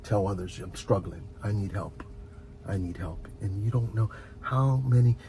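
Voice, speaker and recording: A middle-aged man talks through heartfelt advice in a mellow, fry-edged voice. He is reflective and earnest, often stopping to repeat a word before carrying on. A faint low rumble of noise sits behind him. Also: normal speed. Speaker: host of a relaxation and advice channel. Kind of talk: informal heartfelt advice monologue.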